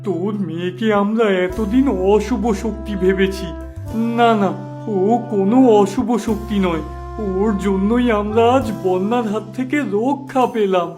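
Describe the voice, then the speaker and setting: A man's voice: sits high, measured pace, over music. A man playing a stern, commanding figure in a cartoon fairy tale, speaking emphatically. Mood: amazed, repentant. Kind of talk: animated fairy tale character acting.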